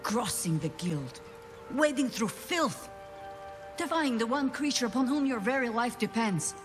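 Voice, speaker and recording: An actress playing a stern, world-weary older female druid in a video game, with a dry, measured, sardonic manner. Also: fairly high pitch, expressive, music in the background. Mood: grudging gratitude. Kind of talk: theatrical video game dialogue.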